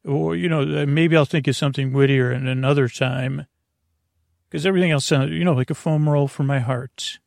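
A man hosting a bedtime podcast in a meandering monologue, halting and digressive, often trailing off and restarting. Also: a little high, steady tone, a little mellow, dry recording, clean studio sound. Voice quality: creaky, gravelly. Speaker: podcast host.

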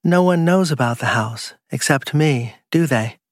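A man's voice: a little high, lively intonation, unhurried, dry recording, clean studio sound, pitched above the performer's own voice. A man voicing a bitter betrayed woman who confronts her partner with accusing menace in an audiobook crime story. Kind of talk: audiobook dialogue acting.

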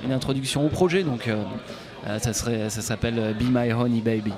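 Male guest musician, halting casual answers, live bar recording with crowd noise. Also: mid-range voice. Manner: hesitant, many fillers and restarts. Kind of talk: casual interview. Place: folk club bar. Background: crowd chatter.

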